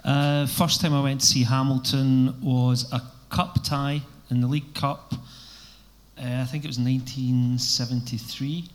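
A man's voice: mid-range voice, little pitch movement, measured pace. A Scottish man in his fifties, a record label boss guesting on a live panel, telling stories in a rambling, casual way. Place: live event venue.

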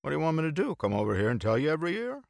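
A boisterous man , as an audiobook narrator plays him, who teases and mock-preaches with emphatic playfulness. His pitch swings up high and swoops about, close and dry on the recording. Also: big pitch swings, very fast, gravelly, no room sound. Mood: mock scolding. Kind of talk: audiobook character dialogue.

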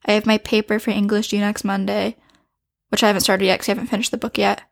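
A teenage girl co-hosting a casual podcast with her dad, chatty and giggly, with quick asides. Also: mid-range voice, level tone, gravelly, dry recording, studio quality. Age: teens. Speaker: co-host, daughter.

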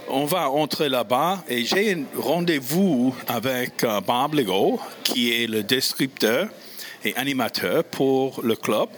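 A man from the United States with an American accent, a native English speaker hosting casually, halting and repeating words as he searches for phrasing. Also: medium pitch, fairly bright tone. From United States, Missouri. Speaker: show host and interviewer. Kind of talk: casual on-location introduction and interview.

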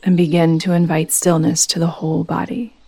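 A woman leading a guided meditation as a yoga nidra teacher, speaking in a soothing, gentle, unhurried manner. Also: low-pitched, flat delivery.

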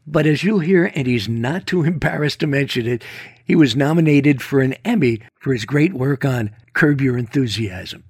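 Brief: male radio host, husky voice, close and dry, chatty scripted intro. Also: mid-range voice, normal speed, no echo. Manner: conversational, chatty storyteller.

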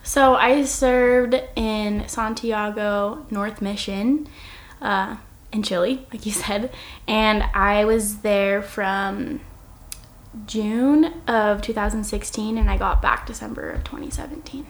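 A woman's voice: mid-range voice, fairly even intonation, takes it slowly. A woman in her twenties from Oregon, answering a podcast interview in an earnest, reflective way and laughing easily. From Oregon, United States. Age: 20s. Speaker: podcast guest. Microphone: single shared microphone.

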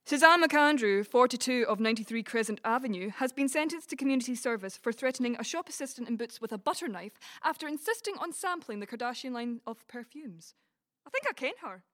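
A woman with a Scottish Dumfries accent acting in an audio play as a brash, gossipy, blunt friend with a soft side. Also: on the high side, expressive, fast talker. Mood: chatty. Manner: chatty, blunt, sweary. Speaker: community cast actor. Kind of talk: community audio drama, colloquial dialogue.

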